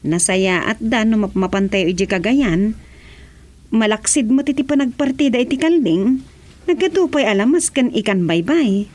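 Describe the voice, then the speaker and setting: Young village wife played by an actress, high voice, curious and practical, conversational radio drama. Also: female voice, lively intonation, moderate pace, a little mellow. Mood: curious, agreeing.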